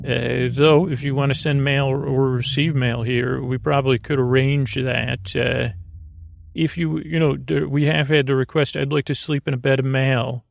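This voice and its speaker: Creaky, rambling man soothing listeners toward sleep. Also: medium pitch, fairly even intonation, normal speed, no room sound. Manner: meandering, hesitant, goofy, self-interrupting. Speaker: podcast host. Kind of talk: rambling, soothing bedtime monologue.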